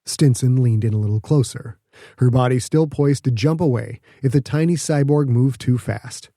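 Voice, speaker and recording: A man narrates an audiobook story in a mellow, relaxed voice at a middle pitch. The sound is dry, and he delivers the prose as scripted fiction. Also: normal speed, no room sound.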